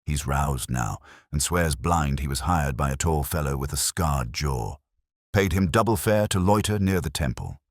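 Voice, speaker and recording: Man playing gruff, low police inspector. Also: lively intonation, normal speed, no room sound, studio quality. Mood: matter-of-fact. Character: irritable, reluctantly respectful male police inspector.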